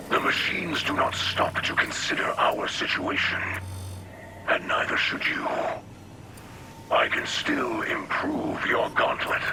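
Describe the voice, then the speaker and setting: An actor playing a solemn, weary but hopeful alien leader in a video game, with a breathy, rasping whisper and formal, halting phrases. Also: male voice, medium pitch, heavily acted. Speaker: leader and mentor. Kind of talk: video game cutscene acting.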